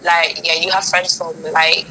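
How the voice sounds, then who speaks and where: A woman's voice: lowish voice, level tone, measured pace, bright, forceful tone, a little hiss or hum, low-quality recording. A woman from Kenya with a British accent, hosting a casual podcast chat, talking in chatty bursts, often restarting her sentences. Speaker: podcast host. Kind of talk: casual conversation.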